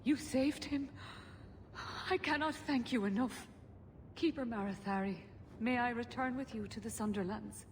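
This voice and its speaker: A woman's voice: on the high side, normal speed, long reverb tail, noisy background, lightly acted. A woman playing a worried, devoted mother. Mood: anxious, grateful. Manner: urgent and heartfelt.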